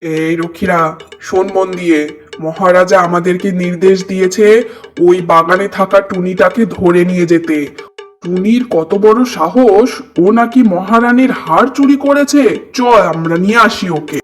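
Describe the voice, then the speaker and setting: An officious palace commander from a cartoon fairy tale, played by a man. His voice is high for a man, dutiful and declamatory, giving orders over faint music. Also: normal speed, close to an everyday voice. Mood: stern, indignant.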